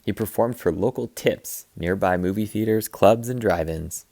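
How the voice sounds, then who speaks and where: Man, children's read-aloud, expressive narration, gravelly edge, close dry sound. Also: fairly low pitch, normal speed, no room sound. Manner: animated, dramatic emphasis. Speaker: teacher reading a picture book aloud.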